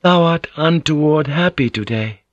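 A man voicing a gentle, composed Japanese noblewoman in an audiobook, speaking in courteous, archaic phrasing. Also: a little high, lively intonation, normal speed, no echo, lo-fi sound, pitched above the performer's own voice, natural voice. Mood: coy, courteous.

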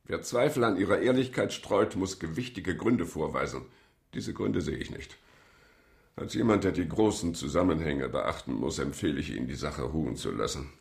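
A man's voice: rumbling low, moderate pace, vocal fry. A man playing a condescending, dismissive police president with a pompous, officious manner in a radio crime drama. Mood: smoothly condescending.

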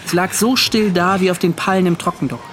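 An actor playing a tormented, defensive ship's officer, recounting in an agitated, pleading and halting way in a radio drama. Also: male voice, high voice, steady tone, a little hiss or hum, close to an everyday voice. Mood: urgent recounting. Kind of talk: radio drama acting, confessional monologue.

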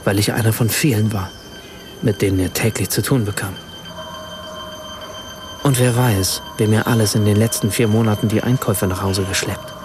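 An actor playing a reflective, watchful first-person narrator in a literary radio play. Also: male voice, fairly low pitch, moderate pace, long pauses, noisy background, close to an everyday voice.

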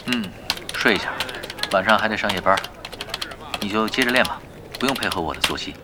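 A man's voice: lowish voice, brisk, background noise, natural voice. An actor playing an easygoing young male gamer who leads his team in an audio drama. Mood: casual, unhurried. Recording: voice under loud music. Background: loud music.

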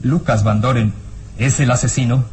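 An actor playing a sober, sceptical police inspector in a radio drama. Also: male voice, medium pitch, steady tone, normal speed, darkish tone, slight background noise, lightly acted. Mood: serious, questioning.

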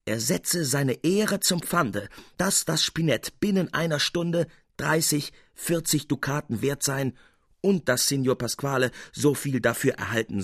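A man reading a literary tale as narrator, in an expressive audiobook style. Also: mid-range voice, breathy edge, dry recording, clean studio sound.

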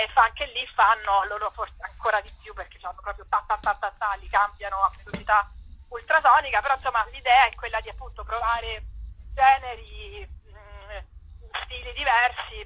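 A woman's voice: mid-range voice, sharp tone, husky, low-quality recording. A woman, chatting casually as an interview guest, hesitant and often trailing off.

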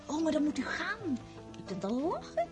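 A woman's voice: sits high, wildly swooping pitch, normal speed, music in the background. A woman playing an eager, chatty young countess in a radio play. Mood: gossipy.

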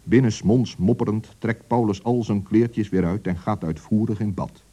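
A man narrating a children's radio story in a lively, expressive way. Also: on the low side, moderate pace, breathy edge.

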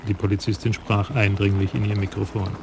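A man narrating a radio crime drama in an even, measured storytelling manner. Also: lowish voice, creaky, noisy background.

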